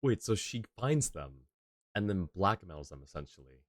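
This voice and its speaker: A man's voice: deep voice, very animated, moderate pace, no echo, clean studio sound. A male streamer chatting casually while playing a game, rambling and laughing often. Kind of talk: casual gameplay chat.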